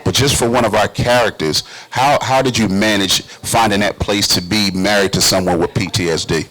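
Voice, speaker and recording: Very low-pitched man hosting a talk show. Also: fairly even intonation, normal speed, a bit bright, low-quality recording. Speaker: talk show host and stage actor. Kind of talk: casual talk show conversation.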